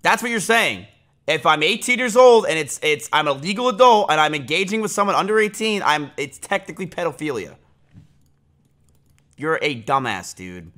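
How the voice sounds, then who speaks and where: A man's voice: a little high, big pitch swings, moderate pace, bright, forceful tone. A man in his twenties talking casually on a live stream, rambling with lots of fillers and restarts, and getting heated and blunt. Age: 20s. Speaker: livestreamer. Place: small indoor room, home streaming setup.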